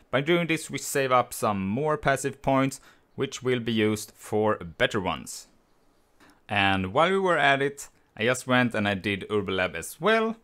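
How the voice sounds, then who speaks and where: Swedish-accented man explaining a game build. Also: medium pitch. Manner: relaxed, explanatory, slightly non-native phrasing. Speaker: gaming channel host. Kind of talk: game guide voice-over. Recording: voice-over with faint music behind.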